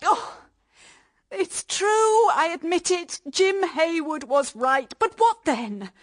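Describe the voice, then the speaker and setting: An actress playing a pleading, proud young British wife in a period radio drama, speaking with emotional, halting delivery. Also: female voice, very high-pitched, animated, exaggerated voice. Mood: defiant, defensive. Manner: emotional, halting, stammering under strain.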